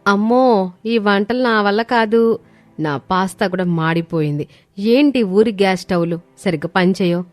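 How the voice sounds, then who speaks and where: A woman's voice: mid-range voice, normal speed, no room sound, close to an everyday voice. A woman voicing a haughty, city-bred young daughter-in-law, boastful and resentful, with a dismissive, complaining manner. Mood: whiny. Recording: studio voice over background music.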